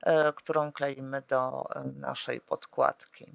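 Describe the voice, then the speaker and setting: A woman calmly walking through a papercraft tutorial step by step, often pausing with drawn-out fillers as she works. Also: rumbling low, creaky, dry recording, lo-fi sound. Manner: hesitant, full of drawn-out "e" fillers while working; calm, practical instructing.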